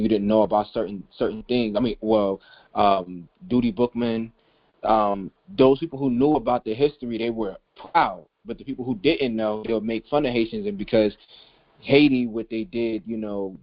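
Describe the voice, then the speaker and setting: A man on a podcast call recalling his youth in a reflective, halting way. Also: on the low side, no echo. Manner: reflective, hesitant with fillers. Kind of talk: casual discussion. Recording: dry, dull lo-fi call audio.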